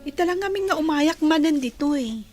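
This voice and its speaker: Friendly young intern girl in a radio play, high voice, chatty dialogue. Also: animated, brisk, darkish tone, soft background music. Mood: cheerful, friendly. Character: friendly young female nursing intern on an outing. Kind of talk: radio drama acting.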